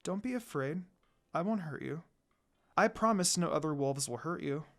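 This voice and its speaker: A woman reading the part of a friendly young female wolf demon princess in a story, kind and soft-spoken. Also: low voice, expressive, moderate pace. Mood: gentle, reassuring.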